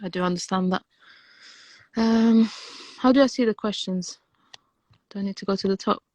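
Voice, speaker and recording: A woman whose first language is Romanian, chatting on a live stream in a hesitant, soft-spoken way with frequent restarts. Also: medium pitch, moderate pace, no room sound. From Algeria. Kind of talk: casual livestream chat.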